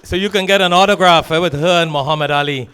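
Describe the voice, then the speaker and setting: A man's voice: fairly high pitch, fast, fairly bright tone. A man hosting an event, joking and chatting as he introduces speakers. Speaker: event host. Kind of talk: emcee banter.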